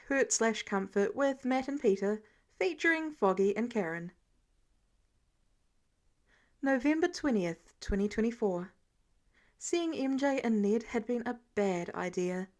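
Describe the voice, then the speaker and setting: Higher-pitched woman narrating a story. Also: darkish tone. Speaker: podfic narrator reading fan fiction. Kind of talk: expressive scripted story narration.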